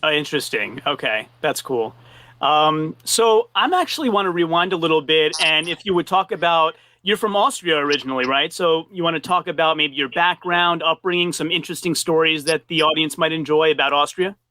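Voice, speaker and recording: A man's voice: on the high side, brisk, pushed and bright. A man hosting an interview over a video call, friendly and curious, with frequent affirmations. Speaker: podcast host, interviewer.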